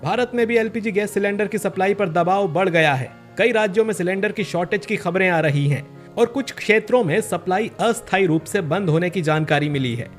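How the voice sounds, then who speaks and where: Man playing an urgent high-pitched cartoon newsreader. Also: fairly quick, faint music behind, natural voice. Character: urgent male TV news reader in a cartoon. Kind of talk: news bulletin delivery.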